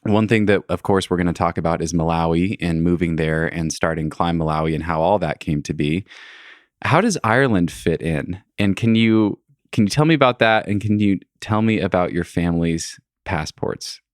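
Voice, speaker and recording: A man hosts a podcast interview with a low, steady voice. He talks in a friendly, chatty way and drifts into little asides. The sound is dry and close. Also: normal speed, no echo. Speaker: podcast host. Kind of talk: casual interview chat.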